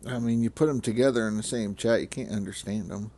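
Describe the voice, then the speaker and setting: A man's voice: lowish voice, quick pace, mellow. A man in a casual voice chat, making dry, teasing remarks.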